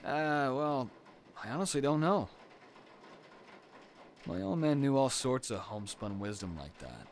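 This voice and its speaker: Young boyfriend, played by a male actor, mid-pitched and expressive, reassuring and gently teasing, intimate comfort audio with light ambient noise. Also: a little slow, pauses often. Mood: teasing, reminiscing. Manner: soft reassuring talk with sighs and teasing.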